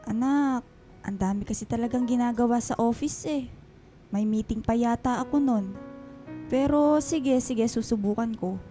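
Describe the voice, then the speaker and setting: A busy working mother acted by a woman in a radio drama, with a fairly high voice. She is distracted and evasive, hesitating and stammering as she placates her child over faint music. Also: moderate pace, mellow, close to an everyday voice. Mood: flustered, evasive.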